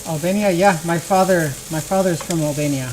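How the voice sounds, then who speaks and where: A woman streaming live while she cooks, relaxed and thinking aloud as she works in a home kitchen. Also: on the low side, a little fast. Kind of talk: casual cooking livestream talk. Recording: kitchen noise and sizzling pan. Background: frying and sizzling.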